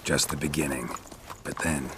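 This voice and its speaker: A gruff, dry-witted monster hunter for a video game. His voice is a very deep gravelly growl, delivered in short deadpan phrases. There is some background noise. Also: normal speed. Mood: wry. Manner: laconic, deadpan. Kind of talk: video game dialogue acting.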